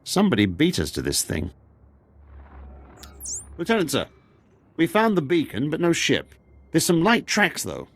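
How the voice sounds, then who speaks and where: An actor playing a loyal, plainspoken military engineer in a video game. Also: male voice, fairly high pitch, huge pitch swings, normal speed, gravelly, long pauses, full character voice. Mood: matter-of-fact. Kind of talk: game voice acting.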